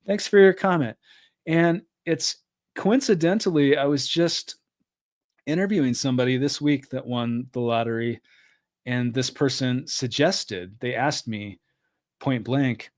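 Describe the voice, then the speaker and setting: A middle-aged man hosting a live stream, reading viewer comments aloud and answering them in a friendly, thinking-aloud way. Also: fairly high pitch. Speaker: podcast host reading live chat.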